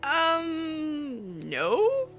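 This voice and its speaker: Bright, high-voiced woman, wry and unhurried. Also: wildly swooping pitch, soft background music. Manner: hesitant, wry, chatty. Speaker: gameplay roleplayer voicing her character. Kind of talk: casual in-character commentary over a video game.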